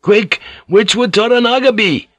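A man's voice: a little high, animated, brisk, bright, forceful tone, no room sound, voice pushed up. A man voicing an eager, impatient master gunner who calls out urgently in an audiobook story. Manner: urgent, crying out.

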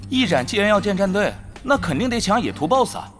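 一名男演员在广播剧中饰演忠心、爱猜测的公会副手，说话恭敬而生动。. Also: male voice, high voice, sing-song, a little fast, faint music behind. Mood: speculating. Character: loyal, eager male guild lieutenant, speculative sidekick. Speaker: voice actor. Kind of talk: Chinese audio drama acting.

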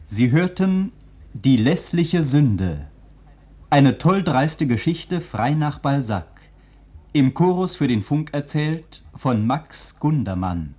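Slow, mellow male radio announcer. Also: on the high side, very animated, breathy edge. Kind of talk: formal scripted 1940s radio credits announcement.

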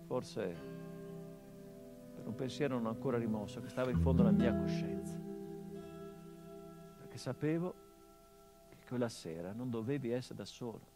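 A bitter, haunted son mourning a murdered father, voiced by a middle-aged actor in a stage monologue. His voice sits at a medium pitch and carries a sharp irony. It swells into loud outbursts, then drops to low, hushed tones. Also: normal speed, long pauses, loud music behind the voice, lightly acted. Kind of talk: theatrical monologue.

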